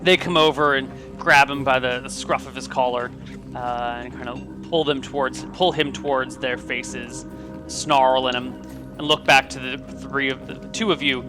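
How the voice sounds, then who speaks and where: Laid-back man running the game on a video call, mid pitch, music bed. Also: normal speed. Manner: relaxed, descriptive, with fillers. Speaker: game master. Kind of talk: narrating a tabletop game.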